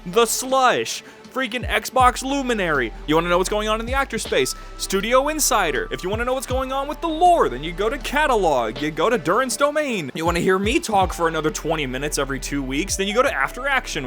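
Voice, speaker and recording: A man's voice: high voice, very animated, quick pace, hardly any pauses, a bit bright. A man hosting an upbeat, conversational update for fans, talking in an enthusiastic, informal and emphatic way. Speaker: podcast host and producer giving a channel overview. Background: background music.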